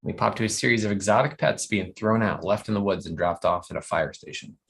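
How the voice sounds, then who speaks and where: Guy narrating a comedy script read, lowish voice, reading out the action. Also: a little fast, vocal fry, fairly bright tone. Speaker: stage directions reader.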